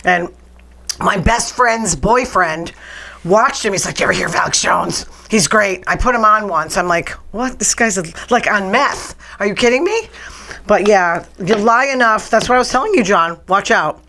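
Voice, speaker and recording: A woman's voice: mid-range voice, expressive, normal speed, vocal fry, sharp tone. A woman talk-show host, ranting casually and bluntly with sarcasm, her voice strained from nearly losing it. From New England. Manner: chatty, blunt, sarcastic rants. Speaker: podcast host.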